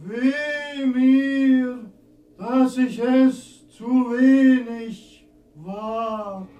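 Ghostly, solemn conjurer: radio drama, very high voice, ceremonial and extremely slow. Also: male voice, steady tone, mellow, highs cut off, exaggerated voice. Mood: mournful, grave. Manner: declamatory, ceremonial, drawn-out delivery. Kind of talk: theatrical German radio drama acting.

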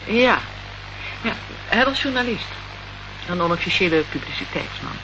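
A woman's voice: mid-range voice, lively intonation, moderate pace, natural voice. An actress playing a cool, guarded woman of a political movement in a radio play, formal and evasive. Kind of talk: Dutch radio play acting. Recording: dull, noisy old radio play recording.

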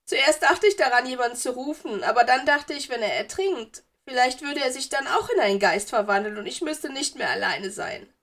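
A woman's voice: sits high, animated, normal speed, hardly any pauses, a bit bright, pitched above the performer's own voice, full character voice. A woman voicing a whiny, self-pitying, lovesick teenage girl ghost in an audiobook, melodramatic and wheedling. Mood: plaintive. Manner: sobbing, wheedling, melodramatic complaining. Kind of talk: audiobook character voice.

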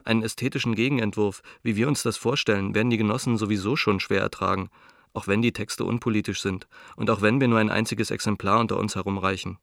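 A man's voice: fairly low pitch, dry recording, clean studio sound, natural voice. An actor in a radio play as an earnest, cautious dissident intellectual, reading his letter in a reflective, articulate, argumentative way.

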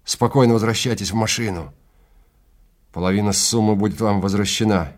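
A man's voice: lowish voice, brisk, natural voice. A man voicing a stern, commanding safari guide who gives curt orders in a science fiction audio play. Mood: curt, controlled.

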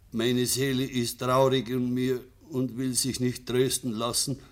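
A gruff Bavarian peasant son, played by a man in a radio drama, in a mid-range voice. He is bitter and short-tempered, with a sarcastic edge. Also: mid-range voice, lightly acted. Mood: solemn reading. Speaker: actor in a radio play. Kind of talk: German radio drama acting.

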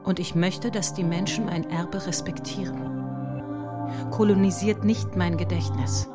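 Female, lowish register, actress playing an ailing, resolute mother and intellectual; deliberate, declamatory stage-drama delivery over prominent music. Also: lightly acted. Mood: grave, resolute. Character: Iranian woman mathematician, gravely ill mother, defiant and grave. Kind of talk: theatrical radio drama monologue and dialogue. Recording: loud music behind the voice.

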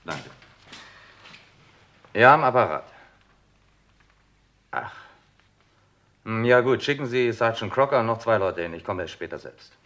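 An actor playing a brisk, sceptical police detective in charge, in a German radio crime drama, with a businesslike, probing manner. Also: male voice, on the low side, expressive, fairly bright tone. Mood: brisk, pondering. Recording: faint noise, vintage radio drama.

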